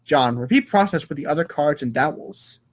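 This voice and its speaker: A man's voice: on the high side, expressive, normal speed. A man narrating an informal comic read-aloud show in a playful, theatrical manner. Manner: playful, theatrical, voices sound effects.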